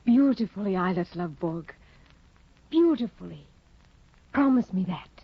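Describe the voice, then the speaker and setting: An actress in a 1950s radio play, voicing a cold, controlling aristocratic woman who speaks in a cool, measured way. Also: mid-range voice, animated, slightly rough, no room sound. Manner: cool, measured, quietly manipulative. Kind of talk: 1950s radio drama acting. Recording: dull, dry 1950 radio broadcast.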